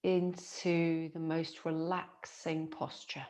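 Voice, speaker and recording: A woman, teaching yoga nidra as a guided relaxation in a soothing, gentle manner with long pauses. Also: sits low, little pitch movement.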